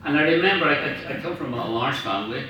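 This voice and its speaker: A man's voice: mid-range voice, animated, quick pace, pushed and bright, highs cut off. A middle-aged or older Scottish man giving an informal, anecdotal speech in a rambling, self-deprecating, humorous way. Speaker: after-dinner speaker, professional writer. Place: large room at a club dinner. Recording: echoey room, distant mic, faint noise.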